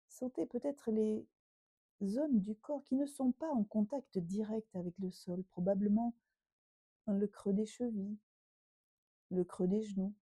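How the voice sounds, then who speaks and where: A woman yoga teacher leading a guided relaxation meditation in a gentle, unhurried, instructing way with pauses. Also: on the low side, dry recording.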